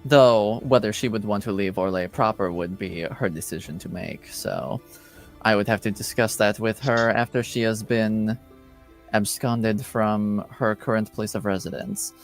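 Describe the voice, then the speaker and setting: A man voicing a formal, guarded hired agent in a fantasy tabletop game, speaking in measured, businesslike phrases. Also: fairly low pitch, soft background music.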